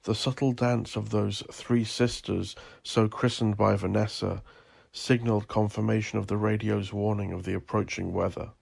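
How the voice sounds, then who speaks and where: A man narrating dark horror fiction in a deliberate, literary storytelling manner. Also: lowish voice, little pitch movement, creaky, breathy edge.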